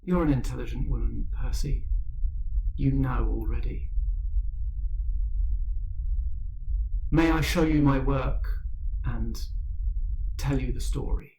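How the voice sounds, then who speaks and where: A man voicing an intelligent, sorrowful female fire demon in a tabletop game, speaking in a measured, formal, deliberate way. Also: medium pitch, gravelly, darkish tone, a little hiss or hum, low-quality recording. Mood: calm, knowing.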